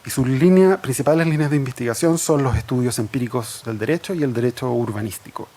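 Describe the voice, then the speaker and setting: Man moderating a seminar, halting. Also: mid-range voice, warm tone. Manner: halting, many fillers. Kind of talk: introducing speakers.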